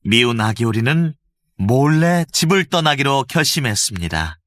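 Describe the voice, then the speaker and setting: A man narrating a children's storybook with an expressive storytelling lilt. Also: medium pitch, moderate pace, dry recording, clean studio sound.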